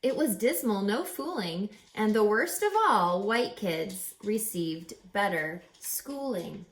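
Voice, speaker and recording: A woman reading a rhyming children's picture book aloud as a teacher, with theatrical, deliberate, emphatic phrasing. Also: medium pitch, expressive. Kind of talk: expressive children's read-aloud of rhyming verse.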